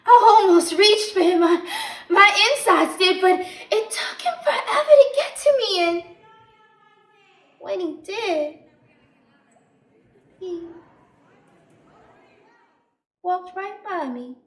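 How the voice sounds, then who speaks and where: A woman playing an embittered, unhinged young woman jealous of her sister, telling her story slowly and swinging into bitter outbursts in a dramatic stage monologue. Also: very high-pitched, expressive, darkish tone, full character voice. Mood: hurt, longing. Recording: roomy echo, distant microphone.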